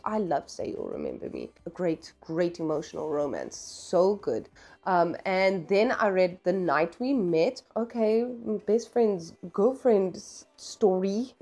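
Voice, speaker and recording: A woman's voice: mid-range voice, a little mellow. Candid, chatty woman over music. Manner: candid, opinionated, slangy and emphatic. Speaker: book reviewer, vlog host. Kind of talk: casual, chatty book review vlog.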